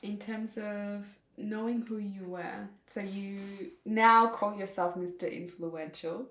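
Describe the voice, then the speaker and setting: A young woman hosting an interview, asking questions in a slow, gentle way. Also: mid-range voice, steady tone. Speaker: host. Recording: echoey room.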